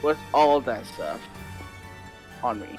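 A man improvising an easygoing, tired young newcomer in a Minecraft roleplay, speaking casually and haltingly. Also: mid-range voice, sing-song, a little mellow, faint music behind. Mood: casual, agreeable.

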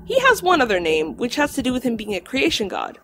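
A woman voicing a learned talking dog in an audio drama, lecturing precisely and calmly on lore. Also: high-pitched, fairly quick, background noise, full character voice. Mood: lecturing, composed. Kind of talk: audio drama acting, explanatory storytelling.

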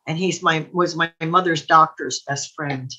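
Older american lady co-hosting, bright sharp voice, chats along and rambles a bit. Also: sits low, fairly even intonation, measured pace, slightly rough. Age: older, 60s or above. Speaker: co-host. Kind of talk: casual chat. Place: indoor room at home, video call. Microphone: computer mic on video call.

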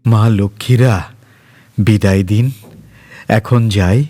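A man voicing a pious, talkative beggar fakir in a storybook, telling things in a drawn-out, exclamatory way. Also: fairly low pitch, steady tone, mellow, no echo. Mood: pious, polite. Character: wandering male beggar fakir, garrulous, dramatic, pious. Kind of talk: storybook character voice.

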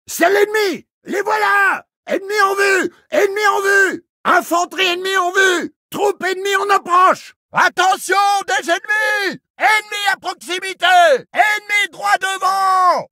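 A man's voice: very high voice, pushed and bright, dry recording, studio quality, heavily acted. An actor playing a fierce, battle-hardened male infantry soldier in a war game, barking urgent, aggressive short bursts. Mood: urgent alarm. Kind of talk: shouted war game combat barks.

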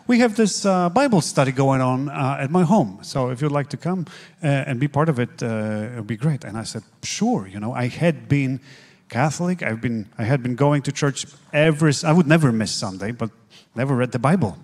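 A man in his forties from Poland with a Polish accent, a guest pastor telling his faith story on a church stage into a handheld mic, earnest and halting with many fillers. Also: mid-range voice, lively intonation, darkish tone. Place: church stage, seated in armchair.

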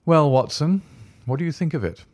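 Man playing a calm commanding detective. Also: fairly high pitch, dry recording, studio quality, voice pushed up. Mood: measured. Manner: measured, commanding. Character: calm incisive male detective.

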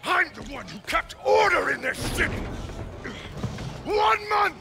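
Shouting, domineering crime boss. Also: male voice, very high voice, sing-song, unhurried, gravelly, over loud music, exaggerated voice. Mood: furious. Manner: bellowing, pompous. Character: enraged hulking male crime boss, proud and domineering. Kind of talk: video game cutscene acting.

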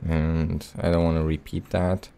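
A man's voice: extremely low pitch, level tone, unhurried, gravelly, mellow, clear, resonant tone, soft background music. A man doing casual gameplay commentary with a hesitant, rambling, playful delivery. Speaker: let's play host.

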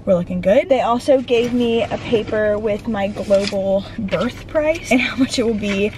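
A woman's voice: mid-range voice, normal speed, a little mellow, poor audio. Young woman rambling to her phone, chatty and easygoing, light hiss behind. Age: young adult. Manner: chatty, rambling, self-laughing. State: hoarse, congested. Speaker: vlogger and fitness coach. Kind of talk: casual chat to camera. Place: inside a parked car. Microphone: phone camera at arm's length.

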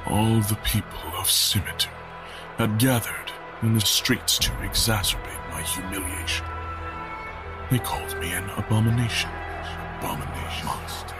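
An actor playing a bitter, wounded exile in a halting, intense audio drama monologue. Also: male voice, fairly low pitch, over music, lightly acted.

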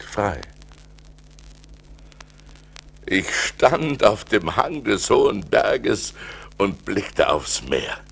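An actor playing an adventurous seafarer who recounts his perils in dramatic, intense audio play narration, swinging from hushed to urgent. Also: deep voice, vocal fry, long reverb tail, heavily acted. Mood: hushed relief. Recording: noisy vinyl record transfer.

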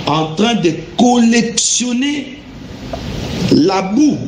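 A man telling a religious testimony in deliberate, emphatic, halting phrases. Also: extremely high pitch, sing-song. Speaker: guest giving a religious testimony. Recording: echoey room with faint background noise.

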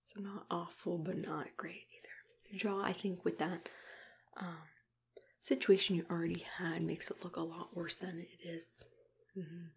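A young woman in a soft, unhurried whisper, playing a gentle, reassuring dentist in an ASMR roleplay, close to the mic. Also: on the low side, flat delivery. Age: young adult. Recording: clear background noise and room ambience.

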